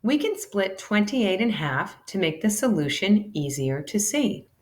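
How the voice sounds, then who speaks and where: A woman giving an animated, deliberate read-aloud of a children's educational storybook, shifting between character lines. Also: medium pitch. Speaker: teacher reading a children's math book aloud.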